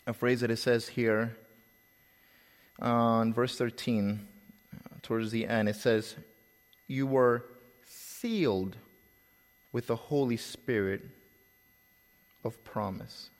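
Preacher with slight Salvadoran accent, echoing hall. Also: male voice, medium pitch. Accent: slight Salvadoran Spanish. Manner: conversational storytelling, with pauses and repeated words. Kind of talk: sermon.

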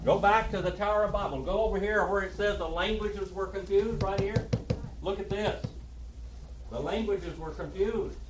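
A man's voice: high-pitched, moderate pace, background noise. A man from Oklahoma with an Oklahoma accent, teaching a Bible class in a folksy way and calling on listeners by name. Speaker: pastor.

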